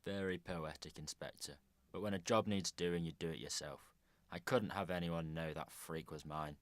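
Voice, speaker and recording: Radio drama villain, male actor: ruthless senior policeman, low-pitched, menacing, close dry sound. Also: fairly even intonation, moderate pace, no echo, natural voice. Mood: cold menace. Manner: sardonic, menacing. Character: ruthless, contemptuous corrupt male police chief.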